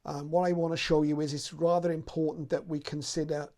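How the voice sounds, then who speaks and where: A man's voice: a little high, flat delivery, fairly quick, warm tone. A man hosting a radio how-to video, talking in a casual, unscripted and explanatory way.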